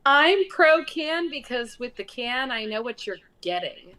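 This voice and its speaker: A woman co-hosting a casual comedy debate, speaking slowly with laughter. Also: high-pitched, sing-song, sharp tone. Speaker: co-host.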